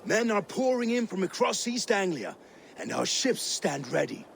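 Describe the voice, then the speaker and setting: An actor playing an earnest, hopeful warrior comrade in a game, with a wry, expressive manner. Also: male voice, sits high, normal speed, gravelly, exaggerated voice. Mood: eager.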